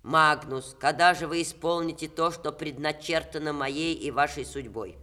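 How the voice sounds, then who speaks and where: An actress playing a vain, sharp-tongued older stage star in a 1960s Soviet radio play, with haughty, commanding and theatrical delivery. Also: female voice, low voice, moderate pace. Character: imperious, vain, sharp-tongued aging actress, grand and mocking.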